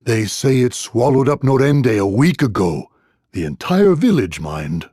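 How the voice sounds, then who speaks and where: An actor playing a folksy, gossipy village innkeeper in a video game, husky and wary of strangers. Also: male voice, medium pitch, big pitch swings, unhurried, dark tone, dry recording, clean studio sound. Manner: folksy, gossipy rustic phrasing. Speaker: innkeeper character.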